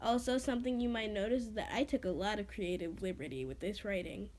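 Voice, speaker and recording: A woman's voice: mid-range voice, fast, darkish tone, a little hiss or hum. A woman reading her own story dramatically, stumbling over words and adding casual, self-deprecating asides. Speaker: amateur reader of own creative writing.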